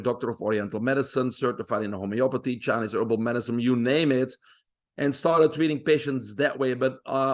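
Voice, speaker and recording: A man in his fifties from Belgium, with a Belgian accent, telling his life story as a podcast guest in a steady, self-assured way with frequent pauses. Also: mid-range voice. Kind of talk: interview storytelling.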